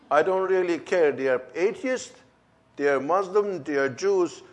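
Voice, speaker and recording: Fervent man with a German-Turkish accent. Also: fairly high pitch. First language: not English. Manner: emphatic, fervent storytelling with acted-out dialogue. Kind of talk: personal testimony.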